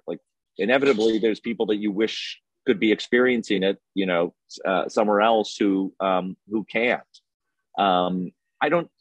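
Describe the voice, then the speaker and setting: A man speaking as a guest in an informal panel discussion, choosing his words haltingly and carefully. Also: sits low, dry recording, muffled recording. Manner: hesitant, halting, measured phrasing. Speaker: film festival programmer, panel guest.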